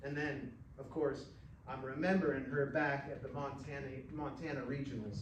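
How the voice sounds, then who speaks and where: A man's voice: medium pitch, steady tone, highs cut off. A man reading a first-person short story aloud in a dramatic, folksy storytelling manner, recorded at a distance in a roomy space. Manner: folksy, unhurried cowboy storytelling. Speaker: actor reading fiction aloud. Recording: roomy, distant mic with faint noise.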